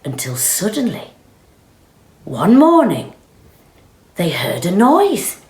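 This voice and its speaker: A woman reading a children's storybook aloud with expressive, deliberate delivery. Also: medium pitch. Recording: roomy, echoey sound.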